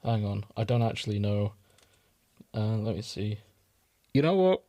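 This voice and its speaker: A man's voice: fairly low pitch, expressive, a little slow, warm tone, no room sound. Man chatting casually, dry close sound. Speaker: podcast co-host. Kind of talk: casual chat.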